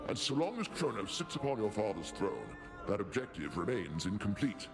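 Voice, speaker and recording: A man voicing a majestic, commanding older king of the gods in a video game, gravelly, with grand formal pronouncements. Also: lowish voice, very animated, normal speed. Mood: stately, welcoming. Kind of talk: scripted video game dialogue. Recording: music behind the voice.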